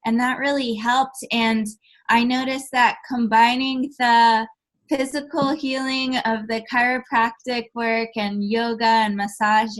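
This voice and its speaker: Woman, soft-spoken, gentle, unhurried, higher pitched, podcast guest. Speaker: sound healing therapist guest. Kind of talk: conversational interview answers. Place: podcast recording.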